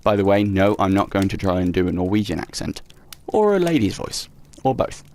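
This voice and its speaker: A man's voice: low voice, sing-song, a little fast, darkish tone, slight background noise. Sarcastic young man playing a horror game. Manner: sarcastic, swears, mutters to the game. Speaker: let's play host. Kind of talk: casual gameplay commentary, reading notes aloud. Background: faint game music.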